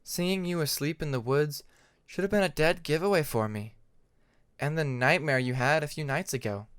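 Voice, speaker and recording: A man voicing a quiet, kind young female sea dragon in an audiobook, gentle and apologetic. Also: fairly high pitch, normal speed, clean tone, dry recording, clean studio sound, lightly acted.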